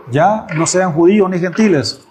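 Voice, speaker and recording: A man's voice: high-pitched, noisy background. A man in his thirties preaching a sermon in a church, asking rhetorical questions and answering them emphatically. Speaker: pastor.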